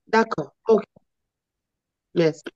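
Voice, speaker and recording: A woman's voice: mid-range voice, takes it slowly, a little mellow, no room sound. A woman asking a brief, practical question on a group call. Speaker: program participant. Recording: dull lo-fi call audio.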